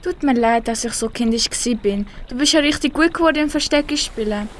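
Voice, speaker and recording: A woman's voice: a little high, brisk, close to an everyday voice. A teenage girl with a Swiss German accent voicing a proud, sulky rainbow fish in an amateur school radio play. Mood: remorseful. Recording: clear background noise.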